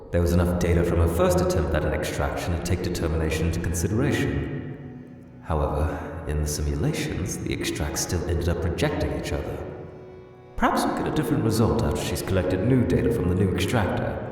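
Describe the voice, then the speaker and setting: A man's voice: deep voice, big pitch swings, darkish tone. A man voicing an eccentric, brooding older skeleton scientist in a comic dub, formal and theatrical in delivery. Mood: thoughtful. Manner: theatrical, formal, articulate, swinging from agitated to measured. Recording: echoey hall-like reverb, distant, faint music.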